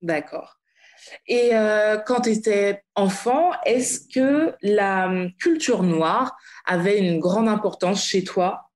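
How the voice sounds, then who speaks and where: A woman's voice: mid-range voice, slow. Echoey woman hosting a podcast. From France, Paris suburbs. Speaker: podcast host. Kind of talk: conversational podcast interview. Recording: roomy, echoey sound.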